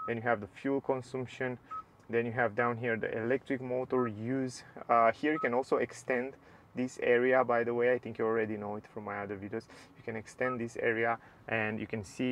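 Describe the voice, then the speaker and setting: A man reviewing a car's dashboard and screens from the driver's seat, in relaxed, slightly non-native English. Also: mid-range voice, slight background noise. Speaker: car reviewer. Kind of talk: casual walkthrough narration. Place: inside a parked car.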